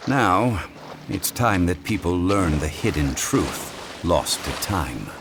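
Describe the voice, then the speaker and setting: An actor voicing a calm, wise, ancient male consultant, speaking in a measured, formal way. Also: deep voice, background noise, exaggerated voice. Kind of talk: video game voice acting. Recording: game audio with loud music.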